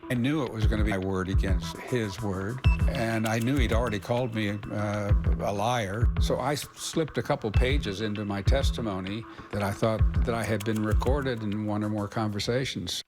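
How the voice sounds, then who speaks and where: A man's voice: on the low side, steady tone, normal speed, loud music behind the voice. A man recalling past events in an interview, in a plain, matter-of-fact way. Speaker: documentary interviewee. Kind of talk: recollection in an interview.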